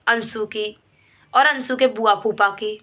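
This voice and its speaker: Woman, informal commentary vlog, higher-pitched voice, bright and forceful, emphatic, repetitive, reproachful pleading. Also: fairly even intonation, unhurried. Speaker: vlogger commenting on a family controversy. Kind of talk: informal opinion vlog addressed to viewers and a person involved.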